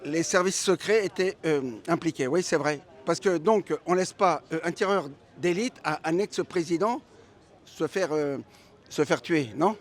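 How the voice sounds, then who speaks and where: Halting man with a higher voice. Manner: halting, repeats phrases. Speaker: passer-by being interviewed. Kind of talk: spontaneous opinion.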